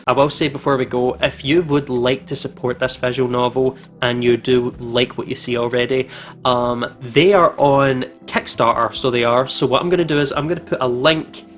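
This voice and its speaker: A man's voice: mid-range voice, steady tone, moderate pace, fairly bright tone. A man hosting a casual let's play, commenting in a chatty, informal way. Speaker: gaming video host. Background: game music.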